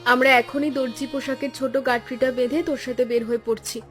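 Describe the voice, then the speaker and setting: A woman voicing a caring young village wife in a cartoon story, speaking in an earnest, deliberate way. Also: high voice, fairly even intonation, faint music behind, natural voice. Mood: concerned. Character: caring young village wife and daughter, anxious but cheerful. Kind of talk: Bengali cartoon story dialogue.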